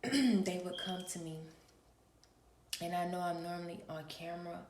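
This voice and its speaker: A woman hosting her own channel, talking in a relaxed early-morning vlog with a raspy voice and a preachy manner, often clearing her throat. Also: fairly low pitch, normal speed, echoey room, background noise. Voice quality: gravelly. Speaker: spiritual reader and channel host.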